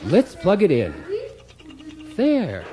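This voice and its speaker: A man's voice: sits high, wildly swooping pitch, drawn-out words, full character voice. A man playing a blithely cheerful, accident-prone husband who hosts a do-it-yourself radio comedy, instructive and unruffled by disaster. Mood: blithely upbeat. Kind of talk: parody how-to radio comedy.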